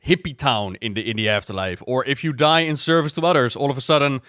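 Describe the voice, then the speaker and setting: A man giving casual reaction commentary as a game lore streamer, musing out loud in a conversational way. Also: mid-range voice, fast talker, clear, resonant tone, no room sound, clean studio sound.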